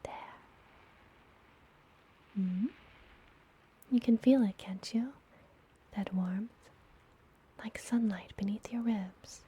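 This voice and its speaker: Slow, whispering witch healer, acted by a woman. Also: mid-range voice. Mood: caring, gentle. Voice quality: soft breathy whisper. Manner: slow, gentle, soothing, deliberate. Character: friendly, mysterious, teasing witch healer of a forest apothecary. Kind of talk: whispered ASMR fantasy roleplay.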